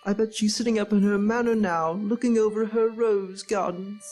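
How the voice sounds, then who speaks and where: A woman's voice: medium pitch, fairly even intonation, normal speed, darkish tone, faint music behind, natural voice. A woman playing a cheeky, confident young country man of the 1910s in a radio play, droll and matter-of-fact. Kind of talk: nostalgic radio play acting.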